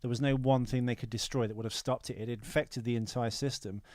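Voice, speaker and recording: A man's voice: mid-range voice, fairly even intonation, fairly quick, darkish tone, no echo, studio quality. A man co-hosting a podcast with casual, rambling film-review banter and a wry manner. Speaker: podcast co-host.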